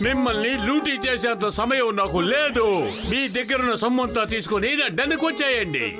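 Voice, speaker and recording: A man's voice: very high-pitched, expressive, brisk. A man voicing a boastful, bossy crook gang boss in an exaggerated, theatrical cartoon dub. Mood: gloating, bossy. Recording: loud music behind the voice.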